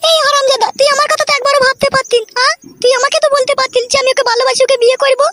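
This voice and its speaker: Cartoon mother, shrill high pitch, melodramatic, plaintive, music behind. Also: fairly even intonation, very fast. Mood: scolding. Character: wailing, doting, scolding village mother. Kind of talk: Bengali comedy cartoon voice acting.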